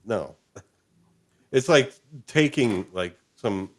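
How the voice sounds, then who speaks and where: A man hosting a podcast, chatting and interviewing in an informal, halting, agreeable manner. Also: lowish voice, expressive. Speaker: podcast host. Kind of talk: casual, conversational interviewing.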